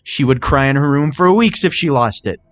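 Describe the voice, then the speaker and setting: A man's voice: mid-range voice, expressive, normal speed, fairly bright tone, voice pushed up, lightly acted. A man voicing a small young male dragon, fiercely protective of friends, in a story reading. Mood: furious.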